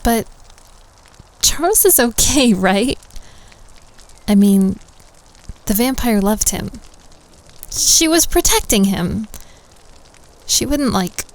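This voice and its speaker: Woman acting a playful, high-voiced prep school student. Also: expressive, slow pace, pauses often, rough recording. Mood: concerned, amused. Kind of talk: audio roleplay acting, casual teasing chat.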